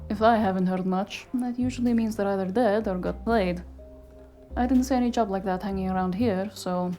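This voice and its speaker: A woman playing a sharp, street-savvy, exasperated fixer in a cyberpunk noir audio drama, sardonic and emphatic. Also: medium pitch, brisk, soft background music, close to an everyday voice. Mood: wary, analytical.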